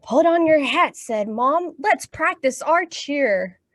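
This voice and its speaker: A woman's voice: high voice, animated, takes it slowly, no room sound, clean studio sound. A woman with an American accent hosting a children's storytime, reading aloud and speaking to the children in an encouraging way. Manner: encouraging, addresses children directly with questions and praise. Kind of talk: read-aloud storytime and action songs for young children.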